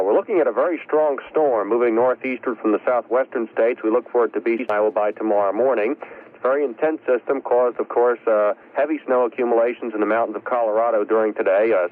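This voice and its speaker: Male weather forecaster, mid pitch, explaining off the cuff, tinny phone-line sound on vintage radio. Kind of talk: unscripted live forecast interview. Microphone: live phone line.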